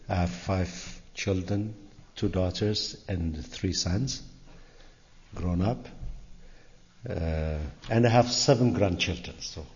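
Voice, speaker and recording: An older man from Ethiopia with an Ethiopian accent, giving an informal, halting, conversational talk as a guest church speaker. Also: deep voice, a little mellow. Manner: halting, conversational, frequent "you know". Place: large indoor church sanctuary. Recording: reverberant church hall, dull sound.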